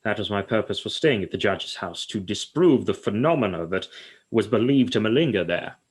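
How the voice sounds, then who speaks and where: A man's voice: on the low side, expressive, brisk, slightly rough. A man acting in a radio play as a solemn, haunted psychiatrist who speaks formally and ominously. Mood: ominous, grave. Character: grave, haunted male psychiatrist who fears the supernatural.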